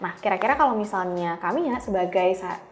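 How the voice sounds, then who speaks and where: A woman's voice: mid-range voice, lively intonation, quick pace. A woman with an Indonesian accent hosting a casual interview, informal and responsive, recapping and asking follow-up questions. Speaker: podcast host. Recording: roomy, echoing voice.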